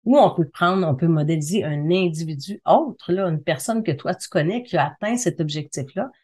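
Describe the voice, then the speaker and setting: Lower-voiced middle-aged Quebec French woman. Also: normal speed, darkish tone, breathy edge. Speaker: interview guest, communication consultant and trainer. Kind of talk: conversational interview, explaining her career.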